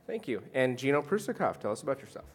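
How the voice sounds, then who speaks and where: A man's voice: mid-range voice, sing-song, fast, husky. A man moderating a conference panel, giving housekeeping notes and introducing speakers with some ums. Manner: orderly, housekeeping announcements with fillers. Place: conference session room.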